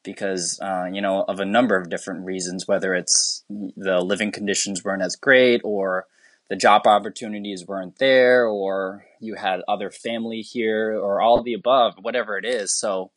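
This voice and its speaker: A man, a teacher from the United States, talking as a guest in a relaxed interview. He is earnest and rambling, restarting his sentences often, and his voice sits fairly low. The sound is dry and close. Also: normal speed, no room sound. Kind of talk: casual conversational interview.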